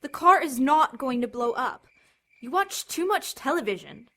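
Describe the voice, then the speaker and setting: Audio drama role: woman as bossy teen sister, high bright voice, snappy, sarcastic, intense. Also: sing-song, normal speed, full character voice. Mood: impatient. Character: bossy, sharp-tongued teenage girl, protective big sister.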